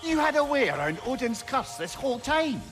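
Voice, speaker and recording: An actor playing a witty, learned older advisor in a video game, articulate, wry and teasing. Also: male voice, very high voice, sing-song, brisk, over music. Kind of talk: dramatic video game acting.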